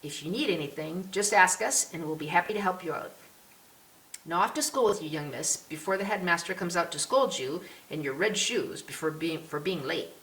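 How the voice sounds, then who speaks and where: A woman's voice: fairly low pitch, lively intonation, normal speed, fairly bright tone. A woman voicing a genial English policeman in an audiobook, kindly, folksy and teasing with children. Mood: warm teasing. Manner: jovial, avuncular, folksy. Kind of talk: audiobook character voice. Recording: roomy echo with clear hiss.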